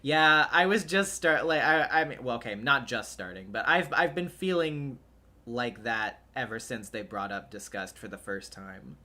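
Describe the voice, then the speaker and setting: A game streamer giving casual, expressive live commentary on a playthrough, swearing freely. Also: mid-range voice, moderate pace. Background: game music.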